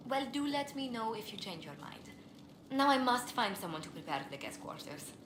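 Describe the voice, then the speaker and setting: An actress playing a refined, earnest court diplomat in a video game, with courteous and formal speech. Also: female voice, on the high side, big pitch swings, moderate pace, reverberant, noisy background. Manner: courteous, formal, elegant phrasing. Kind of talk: scripted video game dialogue.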